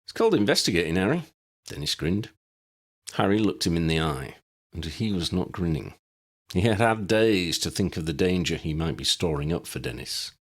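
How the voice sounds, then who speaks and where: A man reading his own story aloud as an audiobook narrator, with an expressive storytelling manner. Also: deep voice, moderate pace, husky.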